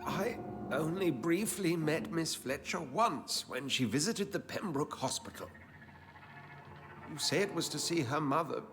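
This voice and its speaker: Genteel, animated doctor, higher-voiced. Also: male voice, unhurried, faint music behind. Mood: defensive. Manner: genteel, formal, pleading and evasive. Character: anxious, defensive English doctor with secretive scientific zeal. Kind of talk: dramatic video game cutscene acting.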